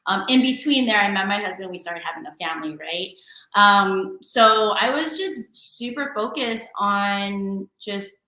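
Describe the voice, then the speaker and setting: A woman in her forties talks as an interview guest, chatty and easygoing, with frequent fillers and restarts. Her voice is bright and forward. She sounds like she is on a video call in an echoey room. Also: medium pitch, expressive, measured pace. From San Francisco Bay Area, California. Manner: chatty and warm-hearted, many fillers and restarts. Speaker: guest entrepreneur and DJ. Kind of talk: casual interview chat.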